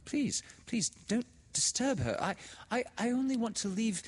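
A man's voice: extremely high pitch, sing-song, normal speed, husky, voice pushed up, exaggerated voice. A man voicing a nervous, well-bred young girl who stammers and pleads in a read-aloud story. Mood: flustered. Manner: flustered and stammering.

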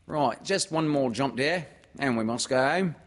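A man voicing a fussy, distracted mother kangaroo in a storybook, brisk and motherly. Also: mid-range voice, big-room sound, voice pushed up, close to an everyday voice. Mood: fretful, motherly.

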